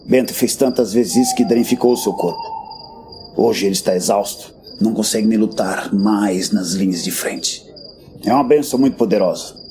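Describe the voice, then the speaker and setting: An actor playing a grim, world-weary veteran warrior master in a fantasy audio drama, speaking bluntly and solemnly. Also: male voice, on the low side, moderate pace, dark tone, lightly acted. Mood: stern. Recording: background music.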